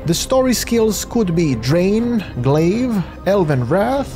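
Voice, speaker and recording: A man's voice: high-pitched, big pitch swings, slow. A man narrating a video game breakdown as a scripted voice-over for a gaming channel. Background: music.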